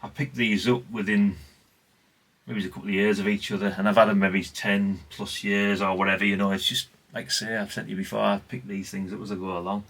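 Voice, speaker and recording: A man's voice: fairly low pitch, little pitch movement, a little fast, fairly bright tone, rough recording. A man, chatting casually and ramblingly as he presents old radio gear in a vlog. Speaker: vlogger showing vintage CB radios. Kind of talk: casual, unscripted chat.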